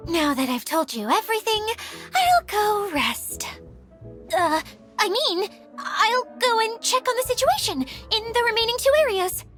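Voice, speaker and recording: An airy young woman guide from a video game, easily flustered and fond of avoiding work. Her high voice swings widely, expressive and hesitant, over faint music. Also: unhurried, heavily acted. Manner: expressive, hesitant, sighing delivery. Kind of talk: video game cutscene acting.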